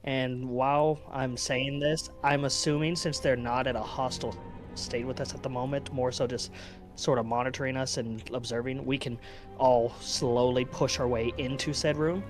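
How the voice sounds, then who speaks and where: A man's voice: medium pitch, fairly even intonation, normal speed, over music. A man playing a tabletop game over an online voice call, talking casually. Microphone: remote online voice call.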